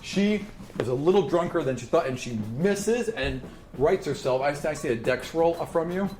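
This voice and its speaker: A man's voice: fairly high pitch, expressive, moderate pace, a little mellow. A man running a tabletop role-playing game, narrating off the cuff in a casual, sweary way. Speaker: game master. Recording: echoey room with music behind. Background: music.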